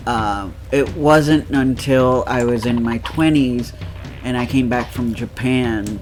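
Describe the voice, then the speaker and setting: Nasal man chatting slowly over gameplay. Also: medium pitch, faint music behind. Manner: rambling, laughs often, many fillers. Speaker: let's play co-host. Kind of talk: casual chat over gameplay.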